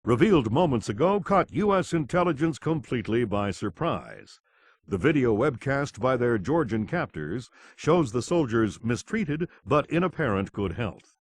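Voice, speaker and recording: An actor playing a sober television news anchor, reading a scripted crisis report for a video game. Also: male voice, medium pitch, very animated, moderate pace. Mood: sober, urgent.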